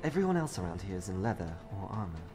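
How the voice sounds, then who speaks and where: Guy doing a daring lady adventurer in a comic dub, lowish voice, dry quips, music behind. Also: sing-song, fast talker. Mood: taunting. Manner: wry, quipping, talks to self. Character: witty, daring female adventurer and archaeologist, unflappable. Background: clear music.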